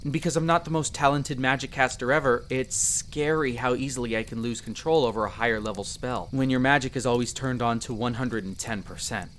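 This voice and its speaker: Casting: a man to play a young male elf explorer for roleplay audio drama. Friendly, self-deprecating, sincere, medium pitch, speaking one-to-one over outdoor background noise. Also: steady tone, fairly quick, hardly any pauses, fairly bright tone, close to an everyday voice. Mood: candid, self-deprecating. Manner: conversational, self-deprecating, earnest.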